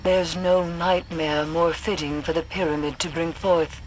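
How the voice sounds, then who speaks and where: A woman playing a grim, haunted mystic in a video game cutscene, speaking solemnly and ominously in formal, measured phrases with a breathy voice. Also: low-pitched, steady tone, a little hiss or hum, poor audio. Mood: grim, resolute. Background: music.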